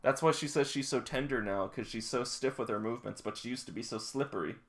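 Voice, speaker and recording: A read-along host giving casual, excitable and amused reactions to a comic. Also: mid-range voice, quick pace. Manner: excitable, amused, gasping and exclaiming.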